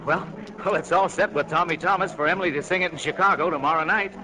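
A man's voice: a little high, fast talker, close to an everyday voice, low-quality recording. An actor playing a breezy, chummy show-business man in a 1940s radio drama. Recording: radio broadcast with busy crowd.

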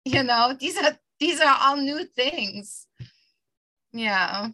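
A woman teacher telling a lively personal anecdote in a panel discussion, laughing at herself as she goes. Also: high voice, slow pace, poor audio.

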